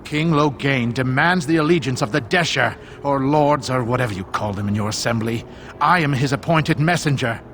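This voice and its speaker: An actor playing a haughty, demanding royal messenger in a video game, pompous and indignant. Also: male voice, medium pitch, normal speed, fairly bright tone, slight background noise, close to an everyday voice.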